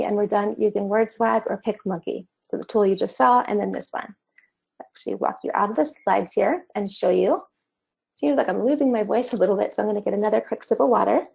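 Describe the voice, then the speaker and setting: Woman doing a screen share tutorial, kinda gravelly, a bit hoarse, chatty. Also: medium pitch, a little mellow. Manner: casual, conversational explaining with fillers. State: slightly losing her voice. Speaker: online course instructor.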